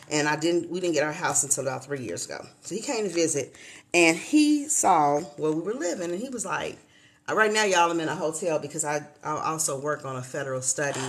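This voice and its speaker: A woman's voice: low-pitched, a little hiss or hum. A woman in her fifties from Chicago, hosting a casual livestream chat from a hotel room and addressing viewers as y'all. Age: 50s. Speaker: livestream host.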